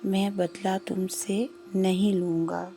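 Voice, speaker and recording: Woman voicing a gentle, gravelly, devout poor cobbler. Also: medium pitch, little pitch movement, a little hiss or hum, natural voice. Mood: gentle, firm. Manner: gentle, earnest, measured. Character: humble, devout, principled poor male cobbler.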